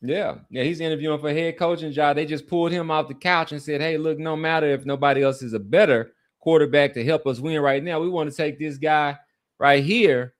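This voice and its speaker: A man's voice: fairly high pitch, fairly quick. A man co-hosting a sports podcast, musing conversationally and repeating phrases for emphasis. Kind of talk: casual sports commentary.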